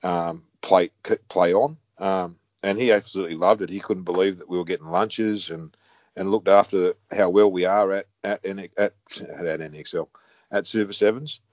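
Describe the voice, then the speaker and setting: A man's voice: low-pitched, steady tone, moderate pace, a little mellow, dry recording, muffled recording. A man, a head referee talking casually in a wry, blunt way. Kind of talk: casual conversation.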